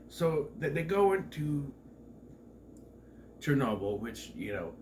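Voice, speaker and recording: A man's voice: medium pitch, very animated, slow pace. A man in his thirties, stuffed up and nasal from a cold, giving a chatty, joking movie review full of asides and self-corrections. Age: 30s. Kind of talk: casual rambling film review. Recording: echoey room, distant mic, noisy background.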